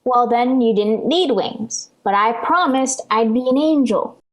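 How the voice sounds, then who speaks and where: A woman's voice: fairly high pitch, a little slow, close to an everyday voice, poor audio. A female performer playing a dramatic, pleading, bratty little girl in a 1940s radio sitcom. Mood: desperate pleading. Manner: melodramatic, insistent, plaintive. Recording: vintage 1940s radio broadcast.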